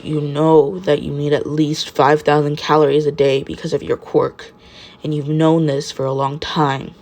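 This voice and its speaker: A woman voicing a tired, stern male teacher in a story, with a deadpan, deliberate delivery. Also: sits low. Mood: weary, firm.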